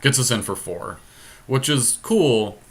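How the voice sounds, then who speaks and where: A man's voice: medium pitch, animated, slow pace. A man giving casual gameplay commentary, thinking his strategy aloud in slangy, everyday speech. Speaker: podcast host playing a card game.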